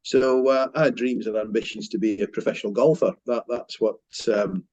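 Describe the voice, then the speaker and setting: A man's voice: on the low side, fairly even intonation, normal speed, darkish tone, dry recording. Scottish man, muffled dry sound. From Scotland. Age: 50s. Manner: hesitant, many fillers and restarts. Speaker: mortgage broker, company director. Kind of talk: business interview, casual storytelling.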